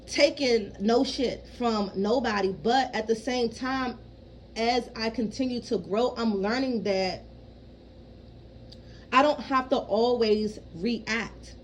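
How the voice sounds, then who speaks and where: A woman's voice: on the high side, takes it slowly, long pauses, slight background noise, rough recording. A woman in her thirties talking frankly in a casual personal vlog, swearing freely and often checking in with her listeners. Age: 30s. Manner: rambling, keeps asking "you know what I mean". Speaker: vlogger.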